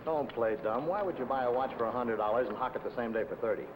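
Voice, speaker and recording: A man's voice: quick pace, lightly acted. A man playing a blunt, condescending investigator in a 1950 film noir, leaning hard on a debtor. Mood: accusing. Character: hard-nosed, threatening male debt-collection investigator. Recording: noisy old film soundtrack, traffic rumble.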